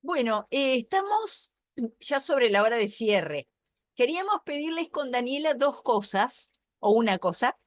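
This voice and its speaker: A woman's voice: high voice, very animated, a little slow, dry recording. A woman with an Argentine accent, a pastor hosting an online Bible class, explaining in a thoughtful way with fillers and restarts over a video call. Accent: Argentine Spanish.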